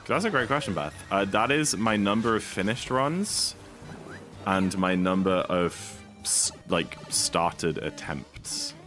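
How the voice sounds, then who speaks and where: A slightly hoarse young man streaming a speedrun, chatting casually and rambling with lots of fillers. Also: lowish voice, big pitch swings, slow pace, a bit bright. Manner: rambling, laid-back, many fillers. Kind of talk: casual live-stream chat. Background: game music.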